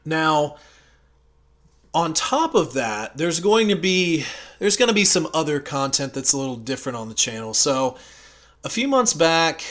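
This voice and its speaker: An American man talking casually and unscripted as a channel host, in a rambling, conversational way with hesitant pauses. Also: medium pitch, a bit bright. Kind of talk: casual unscripted audio log.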